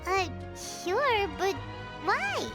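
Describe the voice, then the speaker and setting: A woman voicing a tiny, curious, excitable fairy companion in an anime game, with a squeaky childlike voice. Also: drawn-out words, over music, heavily acted. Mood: surprised. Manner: speaks of self in third person.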